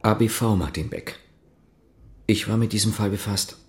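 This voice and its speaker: Low-voiced, earnest policeman. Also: moderate pace, darkish tone, husky. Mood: sober, probing. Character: earnest, dutiful East German policeman investigating doggedly. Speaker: actor. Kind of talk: German radio drama dialogue.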